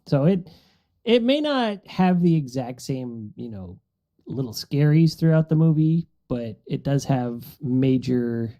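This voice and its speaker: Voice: mid-range voice, sing-song, measured pace, warm tone. A podcast co-host talking casually in a group chat.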